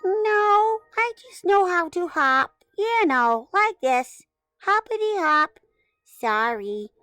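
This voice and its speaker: A woman voicing a cheerful, bouncy little rabbit in a high voice. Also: expressive, takes it slowly, sharp tone, no room sound, soft background music, exaggerated voice.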